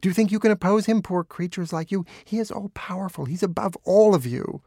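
A man acts out a frantic storybook dog, pitched far up and loud. He is raging and zealous, exclaiming in fanatical devotion. The sound is dry and close. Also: fairly quick, no room sound. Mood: exultant devotion. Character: frenzied, fanatically devoted dog, howling and yelping.

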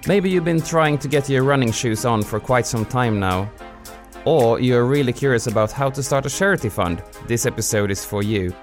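A man's voice: medium pitch, expressive, moderate pace, faint music behind. A man hosting a podcast, introducing the show and interviewing a guest. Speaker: podcast host.

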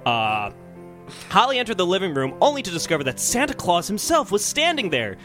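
A man hosting a comedy podcast, bantering casually and sometimes reading a story aloud in an excitable, mock-earnest way. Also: high-pitched, fairly quick, faint music behind.

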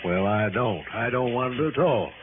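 An actor playing a big, lazy police sergeant of about fifty in a 1960s radio drama, folksy, hesitant and defensive. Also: male voice, medium pitch, lively intonation, normal speed, lightly acted. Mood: complacent. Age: 50s. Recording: muffled, noisy old radio recording.

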